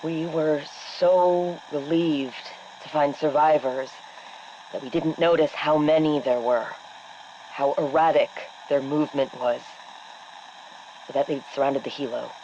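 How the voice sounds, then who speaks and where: A woman playing a shaken, sardonic army helicopter captain, giving a halting, bitter debrief for a game audio log. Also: low-pitched, darkish tone, muffled recording, a little hiss or hum, natural voice. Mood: distressed. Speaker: military helicopter exfil pilot.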